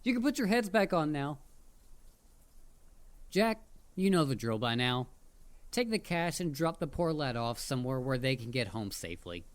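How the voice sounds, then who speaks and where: A performer voicing a mischievous, excitable headless dullahan in a roleplay audio drama, playful, theatrical and full of jokes. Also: medium pitch, normal speed, pauses often, lightly acted. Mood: pleased, bossy.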